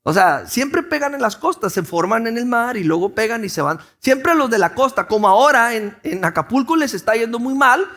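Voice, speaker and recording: A Mexican pastor with a coastal Sonoran accent preaches a sermon. His voice sits very high for a man. He talks to the congregation conversationally, tossing them questions and restarting phrases as he goes. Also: expressive, moderate pace, hardly any pauses, a bit bright. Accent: Mexican Spanish, Sonora coast.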